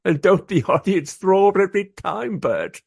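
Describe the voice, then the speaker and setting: A man's voice: high voice, lively intonation, moderate pace, gravelly, husky, exaggerated voice. An actor playing a rowdy, crude, drunk Regency Englishman with boisterous tipsy banter in a comic radio play. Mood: gleeful, scornful.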